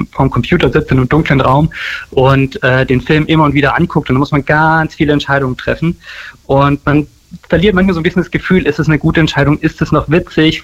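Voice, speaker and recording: A man's voice: fairly high pitch, highs cut off. A man from Germany, talking casually and enthusiastically as a filmmaker being interviewed. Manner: enthusiastic, effusive.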